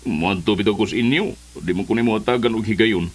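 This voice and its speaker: An actor with a Cebuano Filipino accent playing a lovesick, persistent suitor in a radio drama. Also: male voice, on the low side, quick pace, husky. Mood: wounded pleading.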